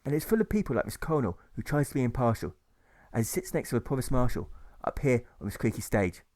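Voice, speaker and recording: Male author-narrator, medium pitch, rhythmic rhyming prose, measured emphasis on lists and rhymes. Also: expressive, darkish tone. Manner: rhythmic, list-heavy, drawn-out rhymes. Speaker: author reading own audiobook.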